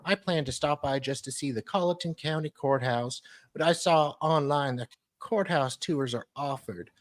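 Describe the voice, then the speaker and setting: A man's voice: a little high, normal speed, dry recording, studio quality. A man co-hosting a casual show, reading emails aloud and chipping in with short remarks.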